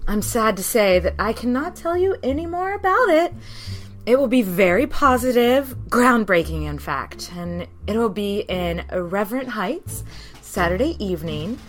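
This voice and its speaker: A woman's voice: mid-range voice, lively intonation, normal speed, faint music behind, lightly acted. A woman playing a gracious, genteel mayor's wife giving a diplomatic interview in an audio drama. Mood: diplomatic, assured. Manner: poised, theatrical, genteel. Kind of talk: acted talk show interview in audio drama.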